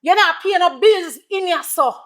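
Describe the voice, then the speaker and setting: A middle-aged Jamaican mother with a distinctly high voice. She is stern and exasperated, confronting her grown son with sharp rhetorical questions in a naturalistic film-drama style. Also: animated, a little slow. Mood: exasperated, scolding.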